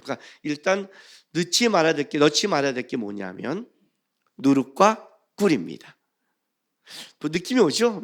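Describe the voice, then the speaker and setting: Male preacher, slightly high voice with big melodic swings; emphatic, questions listeners, shifts between forceful and hushed. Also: creaky. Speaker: pastor. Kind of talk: sermon with scripture reading.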